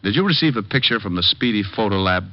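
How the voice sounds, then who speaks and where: A man's voice: fairly low pitch, lively intonation, quick pace, lightly acted. An actor playing a dry, wisecracking male private detective who also narrates, in a 1940s radio drama. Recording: dull vintage radio recording with music.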